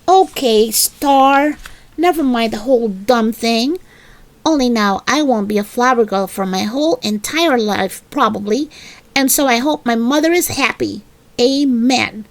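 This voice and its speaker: Storybook little girl woman performing: dramatic, pleading, bratty, high voice, drawn-out childlike delivery. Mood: grumpy. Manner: childlike, emphatic, drawn-out wishing and protesting. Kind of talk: animated children's storybook reading.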